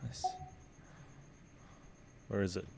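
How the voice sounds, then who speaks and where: A man's voice: drawn-out words, noisy background. A man giving casual live gameplay commentary, thinking aloud in an offhand way as he streams. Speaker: video game streamer. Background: game music.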